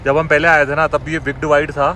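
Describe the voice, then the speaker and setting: A chatty man in his thirties talks straight to his viewers from inside a moving car, excited by every view on the road. His voice is bright and forceful and sits a bit high. The camera is held at arm's length. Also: fast talker, slight background noise. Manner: excited, chatty, talking to viewers while driving. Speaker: travel vlogger. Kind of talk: casual travel vlog narration.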